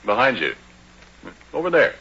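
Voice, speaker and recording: An actor playing a folksy, easygoing American oil-rig roughneck who tells his own story in colloquial, ungrammatical speech, in old radio drama style. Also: mid-range voice, sing-song, normal speed, pushed and bright, a little hiss or hum. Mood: hearty, friendly. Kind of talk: 1940s radio drama acting, chatty first-person narration. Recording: dull lo-fi old radio recording.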